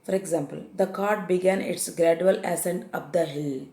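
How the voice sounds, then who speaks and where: A woman's voice: on the low side, moderate pace, dark tone, roomy sound. A woman with an Indian English accent teaching confusable English words, repeating each definition and often hesitating with fillers. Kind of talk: vocabulary lesson.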